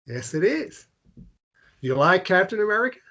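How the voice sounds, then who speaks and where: Man playing small-town American traveller: hesitant, stammering, apologetic, quietly melancholy drama role. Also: high voice, very animated, normal speed, highs cut off, exaggerated voice. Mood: polite, sheepish. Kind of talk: drama table read.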